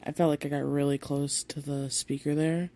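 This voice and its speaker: A woman hosting a rambling solo podcast on her phone, sounding tired, with a raspy, deep voice and a chatty, playfully flirty way of talking, apologizing again and again. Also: level tone, normal speed, warm tone. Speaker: podcast host. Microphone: phone held close.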